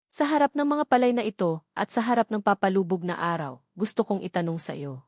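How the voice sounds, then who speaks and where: A woman's voice: medium pitch, a little fast, a little mellow, no room sound, studio quality, natural voice. A woman voicing a tender young husband. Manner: tender, earnest proposal. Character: tender young husband, former security guard.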